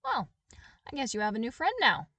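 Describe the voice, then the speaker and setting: A woman's voice: fairly high pitch, huge pitch swings, fairly quick, pushed and bright, no room sound, distant mic, voice pushed up, exaggerated voice. A blunt, bossy kid girl from a storybook adventure, played by a woman. She is demanding and tough, with a caring streak. The sound is dry, a little away from the mic. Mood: blunt, curious.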